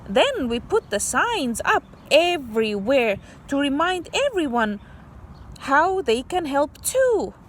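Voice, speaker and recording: Female schoolteacher for storybook read-aloud: unhurried, higher voice, patient simple explanations, noisy background. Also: sing-song. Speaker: teacher reading aloud to young children. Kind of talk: slow, expressive picture-book read-aloud with simple explanations.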